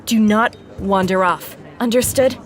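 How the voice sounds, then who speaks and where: A woman playing a cool, shrewd deputy mayor in an audio drama, speaking with crisp, sardonic authority. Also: medium pitch, measured pace, close to an everyday voice. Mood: commanding.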